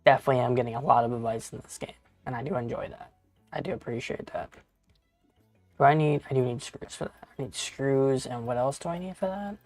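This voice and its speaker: A man in his thirties streams a game and chats with his viewers in a loose, rambling way, peppering his talk with bro and the odd curse. His voice sits at an ordinary pitch and is recorded close and dry. Faint music plays underneath. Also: brisk, a little mellow, no room sound. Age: 30s. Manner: rambling, casual, swears, talks to chat. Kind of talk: casual live-stream chat while gaming.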